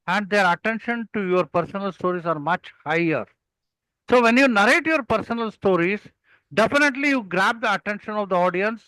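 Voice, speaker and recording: Bright, high-voiced older Indian man. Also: dry recording, low-quality recording. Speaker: public speaking mentor and guest. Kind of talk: interview talk with anecdotes.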